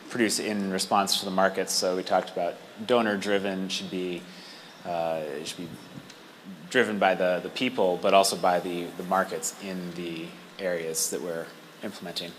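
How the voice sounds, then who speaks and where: A man with a very deep voice gives an informal group report at a meeting. He speaks haltingly and deliberately in technical terms, with some noise in the room behind him. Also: level tone, a bit bright. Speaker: workshop group spokesperson. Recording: noisy room with clear background noise.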